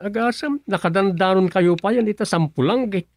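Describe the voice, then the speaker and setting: A man's voice: high-pitched, fairly quick, no echo, clean studio sound. A man with a Filipino accent playing a villager on a call in a radio comedy drama. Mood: doubtful. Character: male villager Dom, plain-spoken friend on the phone.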